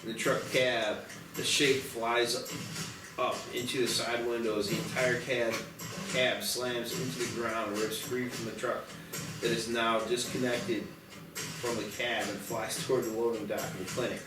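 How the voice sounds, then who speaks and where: A man narrates a screenplay's stage directions at a table read in a middle-pitched voice. He sounds roomy and a little away from the mic, with music clearly behind him. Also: flat delivery, measured pace, distant mic.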